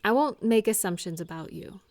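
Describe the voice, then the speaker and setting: A woman playing a caring, slightly awkward friend in a comfort audio roleplay, speaking one-on-one in a gentle, earnest, hesitant and reassuring way. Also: mid-range voice, animated, brisk, no room sound, lightly acted. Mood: shy, supportive.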